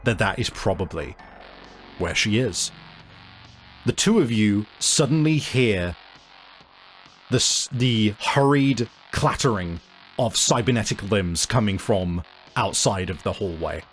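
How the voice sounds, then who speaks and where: A man narrating a tabletop game as game master, improvising and thinking aloud in a halting way. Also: on the low side, expressive, soft background music.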